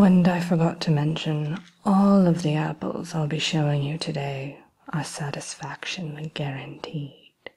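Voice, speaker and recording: A woman playing a sly, lonely evil queen shopkeeper in a whispered ASMR roleplay, speaking in a coy, genteel, teasing way with quiet menace. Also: low-pitched, exaggerated voice, poor audio. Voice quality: breathy, mostly whispered. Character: sly, lonely evil queen selling cursed caramel apples. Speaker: roleplay shopkeeper addressing a customer.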